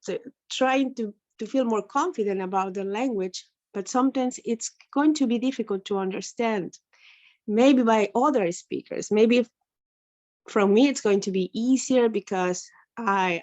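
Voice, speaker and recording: A woman with an Ecuadorian accent, a native Spanish speaker who teaches English, thoughtfully sharing a view in a webinar Q&A over a video call. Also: mid-range voice. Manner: thoughtful, self-correcting, gently explanatory. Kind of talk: spontaneous comment in a Q&A. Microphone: computer mic on a video call, camera off. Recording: muffled lo-fi call audio.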